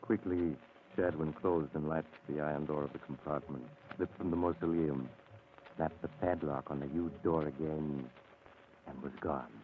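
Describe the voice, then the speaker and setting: Spooky narrator, super deep voice, dramatic, old radio mystery, tinny sound. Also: male voice, fairly even intonation, background noise. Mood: ominous. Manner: deliberate, dramatic. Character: ominous male storyteller of a mystery. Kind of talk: 1940s radio scripted narration.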